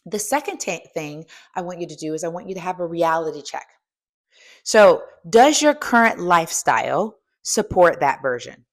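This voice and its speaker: A woman's voice: lowish voice, animated, normal speed. A woman in her fifties giving a motivational coaching talk, emphatic and asking the listener direct questions. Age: 50s. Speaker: strength coach and host.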